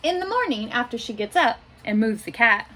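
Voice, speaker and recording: A woman in her thirties reading a children's picture book aloud in simple, deliberate phrases, adding little asides for young listeners. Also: on the high side, animated, pushed and bright. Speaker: school staff member reading aloud to pupils. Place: small home office room, indoors. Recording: noticeable room noise behind voice.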